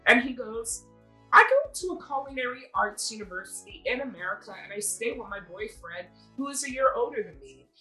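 A woman podcast host chatting casually and reading stories aloud, addressing a co-host in an animated storytelling way. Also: a little high, low-quality recording.

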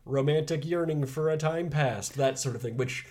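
A man's voice: a little high, normal speed, darkish tone. A young adult man co-hosting a podcast, chatting casually and sharing film trivia, laughing along. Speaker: podcast co-host.

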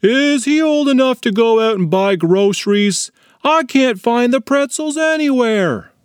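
A man's voice: sits high, very animated, normal speed, dry recording, clean studio sound, voice pushed up. A man reading a children's story, voicing a wry, teasing father. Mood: puzzled.

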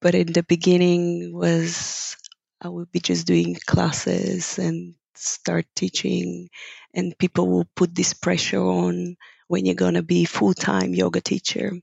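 A woman's voice: fairly low pitch, monotone, takes it slowly, gravelly, darkish tone, slightly rough, dry recording. A woman from Bulgaria, a guest on an interview who speaks thoughtfully, searches for her words and laughs lightly. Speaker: guest, yoga teacher. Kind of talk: reflective interview conversation. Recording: dull, dry lo-fi recording.

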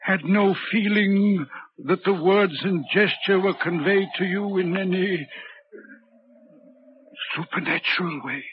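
Casting: lonely, courteous signalman, highish voice, grave and halting, vintage radio drama with muffled sound. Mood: uneasy, probing. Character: lonely, haunted railway signalman, brooding and courteous. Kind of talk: 1940s radio drama acting. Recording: dull, noisy 1940s radio recording.

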